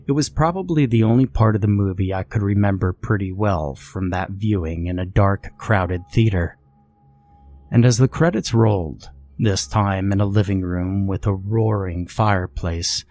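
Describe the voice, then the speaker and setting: A man narrating a scripted first-person horror story in a measured, deliberate way. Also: fairly low pitch, a little mellow.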